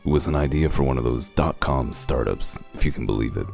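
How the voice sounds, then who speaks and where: A man acts out a shrewd, world-weary sheriff with an extremely low, gravel-filled voice. He lays things out methodically and gruffly, as in a noir comic dub, over faint music. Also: fairly quick, a little mellow. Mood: matter-of-fact, shrewd. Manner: methodical, matter-of-fact explaining.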